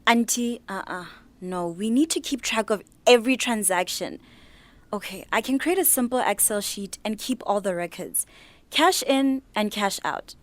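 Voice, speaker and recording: A woman's voice: mid-range voice, normal speed, dry recording, close to an everyday voice. An actress playing an ambitious, earnest young niece in a radio drama, a young woman with a Botswana accent. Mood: earnest, exasperated. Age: young adult. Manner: animated, earnest, persuasive. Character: young, ambitious, organised niece starting a catering business. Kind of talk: radio drama acting, code-switching dialogue.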